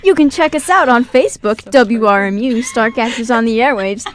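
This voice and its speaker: A woman's voice: fairly high pitch, moderate pace, slight background noise. A young woman with a giggly, playful manner, chatting casually as the host of a radio show in a studio. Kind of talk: casual group chat.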